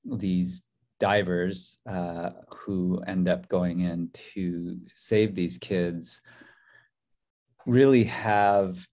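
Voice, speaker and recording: A man with a low, warm voice talks over a webcam from a hotel room. He speaks thoughtfully as a film director, pausing on fillers and restarting sentences. His voice sounds relaxed and rather dark. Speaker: documentary co-director. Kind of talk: video-call interview. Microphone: video call webcam.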